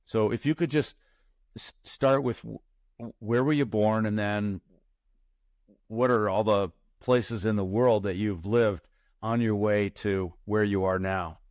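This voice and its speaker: A man's voice: on the low side, fairly even intonation, measured pace, a little mellow, no echo, studio quality. A man hosting a podcast interview in casual conversation, stumbling and repeating words as he asks his questions. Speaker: podcast host.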